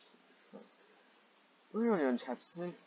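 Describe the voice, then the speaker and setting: A man's voice: high-pitched, very animated, moderate pace, mellow, muffled recording, a little hiss or hum. A man reading fan fiction aloud and commenting on it in a sarcastic, exasperated, sweary manner.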